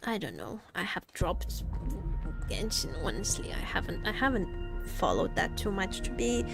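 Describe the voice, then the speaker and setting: A woman livestreamer chatting casually with viewers about games, halting and jokey, often repeating herself. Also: medium pitch, sing-song, creaky. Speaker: livestreamer, gaming content creator. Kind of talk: casual live gaming commentary, chatting with viewers. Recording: faint music behind the voice. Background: faint music.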